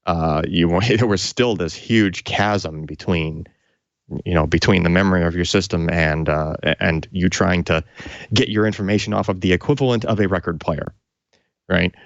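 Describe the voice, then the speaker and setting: A man's voice: sits low, normal speed, creaky, no room sound, muffled recording. A man co-hosting a tech show, explaining technical detail off the cuff in an enthusiastic, conversational way.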